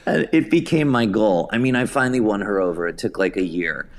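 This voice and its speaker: Male, low-pitched voice, interview guest recounting creative career anecdotes in a conversational way. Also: fairly quick. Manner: anecdotal, hesitant phrasing, acts out quotes. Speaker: interview guest, theatre director and writer.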